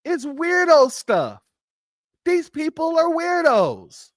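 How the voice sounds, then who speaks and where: Emphatic livestream host, very lively pitch. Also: slow pace, no room sound, studio quality. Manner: heated, emphatic. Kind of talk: livestream commentary.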